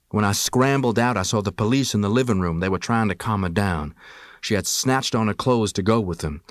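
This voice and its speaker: A man's voice: fairly low pitch, no room sound, studio quality. A man reading a first-person memoir as scripted audiobook narration. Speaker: audiobook reader.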